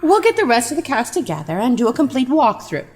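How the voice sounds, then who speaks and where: An encouraging, focused stage director, played by a woman in an audio drama. The voice sits fairly high and rises and falls in lively sweeps. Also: fast, soft background music. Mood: encouraging, brisk.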